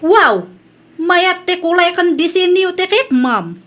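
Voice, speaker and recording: A woman's voice: very high-pitched, lively intonation, slow, a bit bright, a little hiss or hum, voice pushed up, heavily acted. A woman with an Ilocano accent acting a polite village woman, friend and cake maker, in an audio drama. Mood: polite, businesslike.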